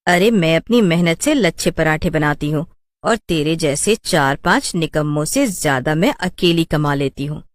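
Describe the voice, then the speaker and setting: A woman voicing a proud, sharp-tongued young village cook who scolds indignantly, in a Hindi cartoon. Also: low voice, dry recording.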